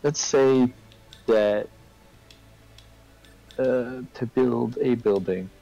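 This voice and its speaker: A man's voice: mid-range voice, very slow, vocal fry, warm tone, highs cut off. A man casually explaining his point in a discussion, often checking in with a "right?" or "okay?" as he goes. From Canada. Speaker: participant in a group discussion. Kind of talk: casual debate, chat.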